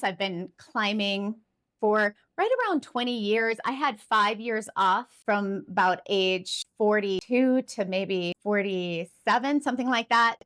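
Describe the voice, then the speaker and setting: A woman in her fifties talks straight to camera at an everyday middle pitch. Her manner is earnest and encouraging, and she pokes gentle fun at herself now and then. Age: 50s. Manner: earnest, encouraging, self-deprecating. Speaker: climbing vlogger. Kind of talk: casual talk to camera.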